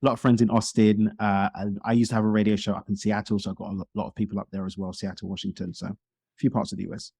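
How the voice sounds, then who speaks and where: A man's voice: on the low side, level tone, racing, creaky, darkish tone, breathy edge, no echo. A man talking casually as a guest in a podcast interview, explaining things in talkative detail. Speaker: podcast guest, speaker and coach.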